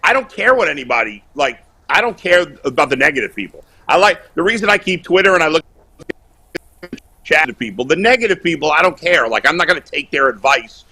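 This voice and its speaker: A man calling in to a sports talk show, loud, blunt and opinionated, bantering casually over a video call. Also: on the high side, sing-song, fairly quick, breathy edge, rough recording. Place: remote video call while on vacation.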